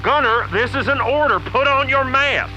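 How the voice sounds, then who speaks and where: A man's voice: very high-pitched, moderate pace, sharp tone, soft background music, heavily acted, rough recording. A man playing an exasperated army artillery officer in a comedic radio drama, barking clipped orders.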